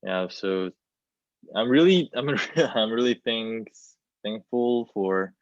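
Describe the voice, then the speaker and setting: A young man with a Korean accent, a native Korean speaker, speaks in a panel discussion. His voice is fairly low and his delivery slow and hesitant, as he searches for words. The video-call sound is a little muffled. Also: expressive. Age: young adult. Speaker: student playwright, panelist. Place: plain room, indoors. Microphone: video call, wireless earbud worn. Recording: roomy, dull video-call audio.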